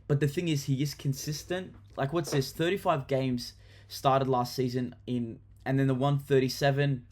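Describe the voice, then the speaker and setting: A man's voice: medium pitch, steady tone, moderate pace, a little mellow. A young Australian man chatting casually to camera about his fantasy football team, enthusiastic, with lots of ums and restarts. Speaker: football vlogger.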